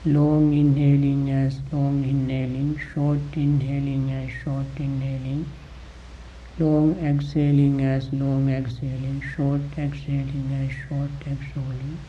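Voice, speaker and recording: A man with a gravelly voice gives guided meditation instruction as a teacher, deliberately, in short phrases with long pauses, on a dull recording. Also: medium pitch, completely flat delivery, a little hiss or hum.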